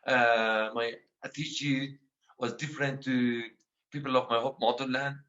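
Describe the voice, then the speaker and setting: Slow, halting Afghan poet with an Iranian accent. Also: male voice, mid-range voice, level tone, pushed and bright, highs cut off. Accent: Iranian-accented English. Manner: halting, many filler pauses. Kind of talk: panel talk about his life and poetry.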